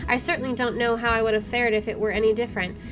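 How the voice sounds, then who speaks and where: Higher-pitched woman reading a game aloud. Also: steady tone, rapid-fire, rough recording. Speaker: gaming video host. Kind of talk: let's play, reading narration aloud with casual asides. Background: game music.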